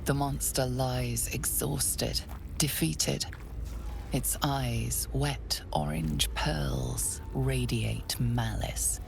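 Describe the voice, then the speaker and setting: A woman voicing the narrator of a dark fantasy game, speaking low and breathy, often near a whisper. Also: drawn-out words, full character voice. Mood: ominous. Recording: game audio under loud music.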